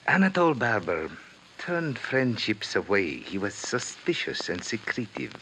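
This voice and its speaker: A solemn, courteous old-time radio merchant, very low yet swooping widely in pitch. He phrases formally and deliberately, with a forceful edge, over faint noise. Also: sing-song, heavily acted. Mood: solemn, guarded. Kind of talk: 1950s radio drama acting. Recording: noisy 1950s radio recording.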